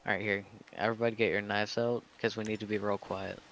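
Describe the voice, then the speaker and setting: A man casually giving instructions in character as a group leader in a roleplay game, with halting, measured phrasing. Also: lowish voice, level tone, a bit bright, slight background noise.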